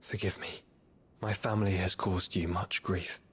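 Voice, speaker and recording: A man's voice: deep voice, level tone, moderate pace, fairly bright tone, husky, exaggerated voice. A man playing a humble young noble warrior in a video game, speaking. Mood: sincere. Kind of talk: dramatic video game cutscene acting.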